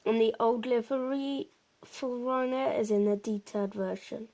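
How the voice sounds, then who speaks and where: A woman presents a casual game walkthrough in a fairly high, mellow voice. Her delivery is very slow and stays quite level. She explains informally, meandering and hesitating a little as she goes. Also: fairly even intonation. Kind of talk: casual gameplay commentary.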